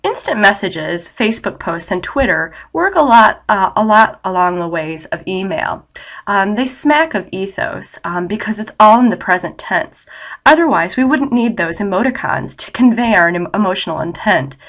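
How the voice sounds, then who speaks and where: A woman's voice: mid-range voice, expressive, moderate pace, fairly bright tone. A young adult woman giving an online college English lecture while battling a head cold, sounding nasal and a bit scratchy, explaining steadily with frequent ums.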